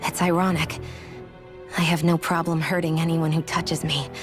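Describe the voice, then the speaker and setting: A woman's voice: low-pitched, little pitch movement, moderate pace, close to an everyday voice. Woman as a cold, proud young warrior. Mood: guarded, defiant. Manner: curt, guarded. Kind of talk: video game cutscene acting. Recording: music behind.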